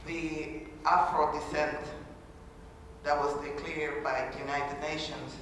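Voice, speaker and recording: Woman in her sixties, earnest halting talk, boomy echoing hall, faint background murmur. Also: noisy background. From Honduras. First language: Garifuna. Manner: earnest, halting delivery with many pauses and restarts. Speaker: speaker at a panel event. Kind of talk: formal public talk. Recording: echoey hall from far off, faint ambience.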